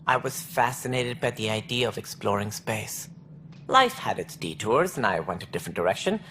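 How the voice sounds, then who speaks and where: Formal, wry alien bureaucrat, noisy backdrop. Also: male voice, medium pitch, fairly bright tone. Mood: reflective. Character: officious alien Salarian bureaucrat, wry and earnest station director. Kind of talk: video game dialogue acting.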